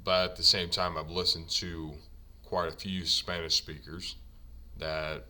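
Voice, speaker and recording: A man who is a native English speaker teaches ironworker trade vocabulary from slides, saying each term several times slowly for learners before explaining it. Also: sits low, level tone, bright, forceful tone. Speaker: ironworker union instructor. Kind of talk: slide-based vocabulary lesson.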